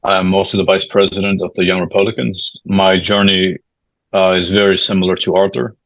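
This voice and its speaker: Low, flat-voiced young man from Peru. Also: brisk, no room sound, lo-fi sound. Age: young adult. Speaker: guest, club vice president. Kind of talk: interview self-introduction.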